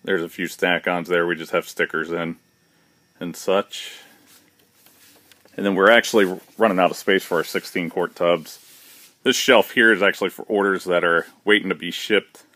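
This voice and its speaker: A man with a low, deep voice walks through a large indoor warehouse, talking in a relaxed, matter-of-fact way with plenty of "uh"s and restarts. He films on a handheld phone, and the sound is close and dry. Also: normal speed, no room sound. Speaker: shop owner giving a warehouse tour. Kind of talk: casual walkthrough narration. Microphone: handheld phone camera, speaker behind it.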